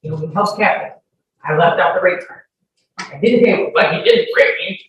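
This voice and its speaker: A woman's voice: fairly low pitch, normal speed, highs cut off. Woman witness on the stand, defensive and emphatic, really echoey room with faint noise. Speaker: witness testifying in court. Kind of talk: courtroom testimony under questioning. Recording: echoey, distant courtroom audio with faint noise.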